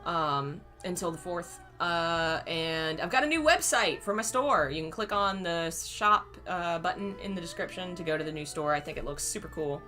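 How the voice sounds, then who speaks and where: A hoarse woman reading a novel aloud on her channel, chatty and fond when she talks to viewers. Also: lowish voice, moderate pace, few pauses, sharp tone, soft background music. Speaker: reader and channel host.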